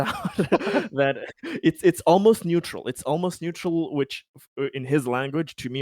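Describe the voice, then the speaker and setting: A man chatting casually as a tabletop game player, halting and wry, swearing freely. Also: medium pitch, no echo.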